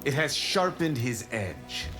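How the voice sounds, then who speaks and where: An actor playing a proud, boastful martial arts fighter in a video game, haughty and clipped. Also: male voice, medium pitch, wildly swooping pitch, slow pace. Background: loud music.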